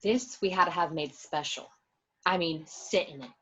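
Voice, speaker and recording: Woman playing husky, boastful gangster's wife. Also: lowish voice, moderate pace, sharp tone. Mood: boastful, excited. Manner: boastful, showy. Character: Karen, proud gangster's wife showing off her new house. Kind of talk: film script read in radio-play form.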